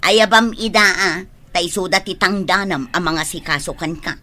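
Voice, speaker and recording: Actress as bright, outspoken Filipino village woman. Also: fairly low pitch, normal speed. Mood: urging, firm. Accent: Ilocano Filipino. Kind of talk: Ilocano radio drama acting.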